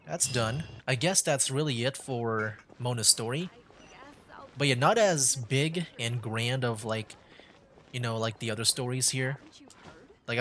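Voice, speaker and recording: A man's voice: medium pitch, a bit bright, slight background noise. A man giving casual, rambling commentary as he streams a video game, talking conversationally.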